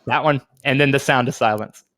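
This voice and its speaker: A man's voice: medium pitch, steady tone, fairly quick, fairly bright tone, no echo. A man co-hosting a casual podcast, chatting off the cuff in loose, slangy language. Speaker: podcast co-host.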